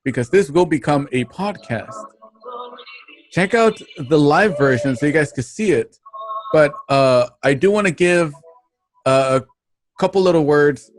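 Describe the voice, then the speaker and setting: A man hosting an online show, giving a casual, halting sign-off with plugs and thanks. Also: fairly high pitch, animated, dry recording, soft background music, low-quality recording. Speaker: host of an online radio drama show.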